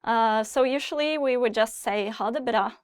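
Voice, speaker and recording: A woman with a Norwegian accent hosting a language lesson, clearly sounding out phrases and casually explaining them. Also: fairly high pitch, normal speed, no echo, clean studio sound.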